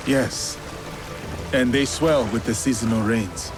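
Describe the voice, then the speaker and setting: An actor playing a stoic, loyal, foreign-born samurai warrior in a video game, speaking tersely and solemnly. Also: male voice, mid-range voice, slow, lightly acted. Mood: polite, at ease. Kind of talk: video game cutscene acting. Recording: loud music behind the voice. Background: music.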